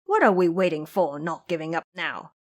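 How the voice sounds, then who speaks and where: A woman's voice: lowish voice, expressive, normal speed. Woman playing a grumbling, cowardly pig-man. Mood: defeatist, grumbling. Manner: blustering, complaining. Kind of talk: audio drama character acting.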